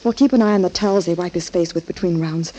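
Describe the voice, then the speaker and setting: A woman's voice: lowish voice, quick pace, mellow, natural voice. A woman playing a tough, anxious, streetwise boxer's girlfriend in a 1940s radio drama, blunt, slangy and urgent. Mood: blunt, worried. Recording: dull 1940s radio recording.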